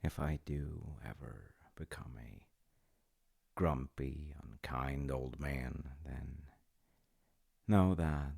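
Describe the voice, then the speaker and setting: A man playing a gentle, doting dad with dry humor in an intimate comfort audio roleplay, musing softly and haltingly in a husky murmur. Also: very deep voice, full character voice. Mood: tender, reassuring. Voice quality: gravelly, husky, often dropping to a breathy murmur. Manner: slow, soft-spoken, halting, musing. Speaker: voice actor.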